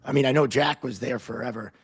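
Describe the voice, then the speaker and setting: Male podcast host, average pitch. Casual, conversational interviewer who circles around his questions. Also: fast, slightly rough. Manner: informal, roundabout questioning.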